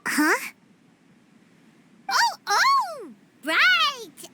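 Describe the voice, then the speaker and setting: A tiny floating fairy companion chirps in a squeaky, sky-high voice that swoops all over. Her delivery is slow and excitable. Loud noise runs under the game audio. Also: heavily acted. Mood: flustered.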